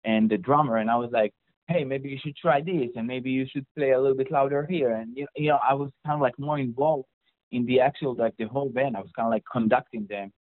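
A man's voice: medium pitch, quick pace. A young man with an Israeli accent speaks in a loose, rambling way, full of "you know" and "like" and restarting his sentences as an interview guest. The sound is narrow and tinny, like a phone line, with no room echo at all. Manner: rambling, many fillers and restarts. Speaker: guest, mixing engineer. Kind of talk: casual interview. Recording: dry, phone-like audio.

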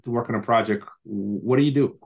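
A man with a medium voice hosts a podcast interview. He is from Moldova and talks in a halting way, repeating words as he frames his questions. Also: mid-range voice, muffled recording. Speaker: podcast host. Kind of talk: interview hosting.

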